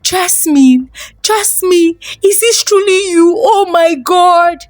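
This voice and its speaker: A woman's voice: very high voice, takes it slowly, no echo, clean studio sound, voice pushed up. A woman storyteller voicing a distraught older father, overcome with emotion, in a folktale. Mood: tearful shock.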